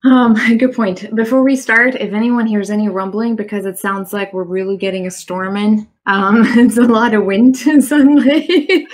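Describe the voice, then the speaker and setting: German-accented woman, earnest and reflective. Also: mid-range voice. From East Germany. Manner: earnest, reflective, laughs often with fillers. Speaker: guest. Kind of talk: conversational interview. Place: home in the Midwest. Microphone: online live stream.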